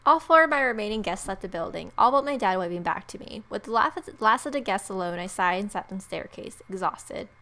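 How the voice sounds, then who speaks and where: A woman's voice: mid-range voice, animated, brisk, few pauses, bright, forceful tone. A woman let's player narrating a visual novel aloud as she plays. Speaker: video game let's player.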